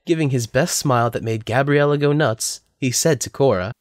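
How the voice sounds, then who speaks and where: A man's voice: mid-range voice, moderate pace, no room sound, clean studio sound. A man narrating a scripted story as the narrator of an audio drama.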